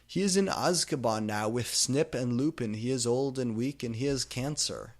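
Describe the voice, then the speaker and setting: A man voicing a hot-tempered, sweary, petty male official in a story, shouting indignantly. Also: mid-range voice, moderate pace, lightly acted. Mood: stern.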